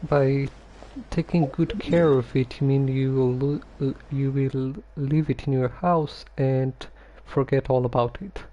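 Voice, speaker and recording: A man giving casual livestream commentary on a game, halting and musing to himself. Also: medium pitch, fairly even intonation, warm tone, dull audio. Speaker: game streamer.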